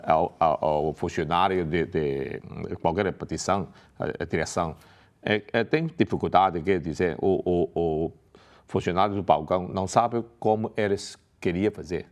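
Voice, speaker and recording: A man speaking haltingly as a non-native speaker, full of pauses and restarts, as a candidate in a televised political debate. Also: sits low. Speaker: election candidate. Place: television studio.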